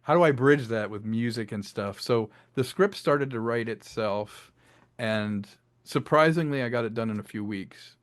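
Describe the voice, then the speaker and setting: A man's voice: mid-range voice, moderate pace, no room sound, studio quality. A man being interviewed as a filmmaker and audio drama writer, talking in a conversational, expansive, reflective storytelling way.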